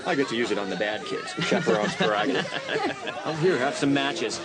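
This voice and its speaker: An actor playing a jokey, playfully menacing party chaperone in a dramatised audiobook. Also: male voice, medium pitch, lively intonation, a little fast, natural voice. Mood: jovial, teasing. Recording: loud party crowd behind.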